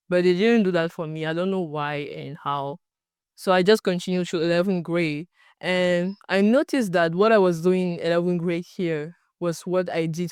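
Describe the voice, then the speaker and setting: A woman's voice: lowish voice, darkish tone, clean tone, dry recording, clean studio sound. Hesitant young woman, Cameroonian French accent. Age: young adult. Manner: hesitant, pausing to search for words. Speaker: student researcher. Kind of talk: documentary interview answers.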